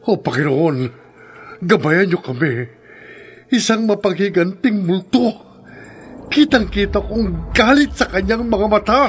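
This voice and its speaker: An actor playing a devout priest in a horror radio drama, pleading and commanding in solemn, fervent prayer. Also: male voice, high voice, expressive, unhurried, long pauses, faint music behind. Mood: grave alarm.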